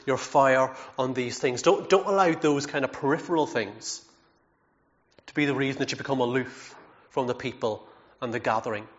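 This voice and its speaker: An earnest man delivering a sermon at a middle pitch, emphatic and heartfelt. He often repeats words as he builds his points, with a wry self-deprecating aside now and then. The recording sounds a little dull and lo-fi. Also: normal speed. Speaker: preacher.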